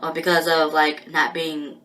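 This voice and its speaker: Woman, personal monologue, halting and rambling, slow delivery, lower voice. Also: completely flat delivery, sharp tone, low-quality recording. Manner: halting and rambling, with many pauses and restarts.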